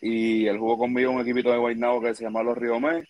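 A Puerto Rican man in his twenties, a baseball pitcher, chatting casually in an interview with a relaxed, laid-back manner. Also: fast talker, no echo, dull audio. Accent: Puerto Rican Spanish. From Puerto Rico. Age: 20s.

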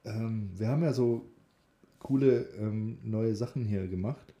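A man's voice: on the low side, unhurried, dark tone. A man co-hosting a podcast in casual banter, with a jokey, teasing way of talking. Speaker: podcast co-host.

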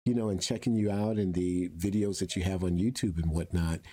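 A man's voice: deep voice, steady tone, fairly quick, warm tone. An older man interviewing a guest in a casual, conversational style, wordy and effusive as he builds up his questions.

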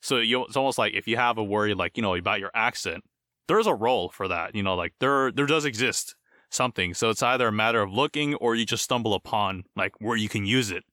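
A man's voice: lowish voice, fast talker, pushed and bright, no echo, clean studio sound. A man co-hosting a casual podcast about voice acting, upbeat and self-deprecating. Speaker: podcast co-host, voice actor. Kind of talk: casual chat.

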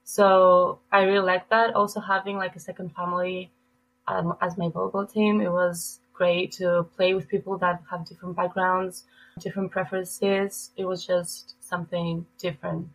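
Young raised woman, relaxed and chatty. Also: on the low side, moderate pace, poor audio. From Greece. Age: 20s. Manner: relaxed, lots of "like" fillers. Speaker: college volleyball player guest. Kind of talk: casual interview answers.

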